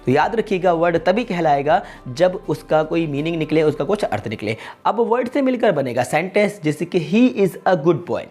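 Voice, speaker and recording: A man teaching a beginner English grammar lesson for an online channel, talking earnestly to viewers and repeating each point, and slowing down when he reads English definitions. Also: on the high side, lively intonation, darkish tone, soft background music. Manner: earnest and repetitive, restates points and keeps calling out to viewers.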